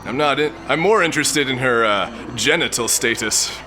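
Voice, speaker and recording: A man's voice: mid-range voice, expressive, moderate pace, lightly acted. Man acting a pompous, lecherous space lord, theatrically swooping. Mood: lecherous, wooing. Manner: pompous, florid, blustering. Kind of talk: comic sci-fi audio drama acting.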